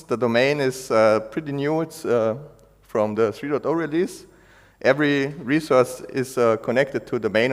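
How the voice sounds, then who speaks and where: A man from Austria giving a technical conference talk, halting with many fillers and restarts. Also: mid-range voice. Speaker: conference speaker. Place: conference hall. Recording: echoey hall, long reverb.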